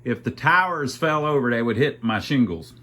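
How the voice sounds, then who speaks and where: A man's voice: mid-range voice, lively intonation, moderate pace, pushed and bright. A man casually thinking aloud as he narrates a hands-on repair, with a folksy, wry sense of humor. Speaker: amateur radio repairer and video host.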